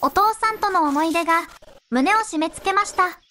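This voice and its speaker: A young-sounding synthetic woman narrating a fairy tale in measured storybook phrasing. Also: very high-pitched, bright, forceful tone, slight background noise.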